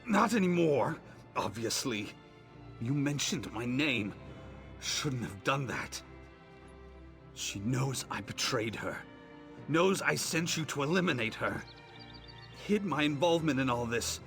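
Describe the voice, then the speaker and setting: A man's voice: mid-range voice, expressive, a little slow, creaky, long pauses, fairly bright tone, soft background music. A man voicing a dying male merchant who is bitter and scheming, speaking in an accusing way, as AI-voiced game dialogue.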